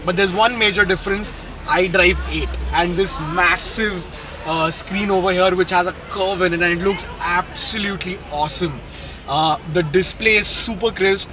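A man's voice: high-pitched, rough recording. A young man, vlogging casually and enthusiastically at an outdoor car show, talking into the camera with filler "uh"s. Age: young adult. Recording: loud music behind the voice.

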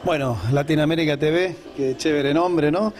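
A middle-aged Argentine man gives casual interview answers as a musician guest. His voice sits a little high, picked up by a handheld microphone in an indoor room. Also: steady tone, normal speed, a little mellow.

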